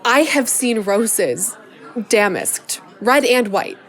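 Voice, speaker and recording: A woman's voice: on the high side, expressive, slow pace, a faint crowd. A woman performing a Shakespeare sonnet as the monologue of a frank, wry lover. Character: frank, wry lover describing a plain mistress. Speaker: student drama performer.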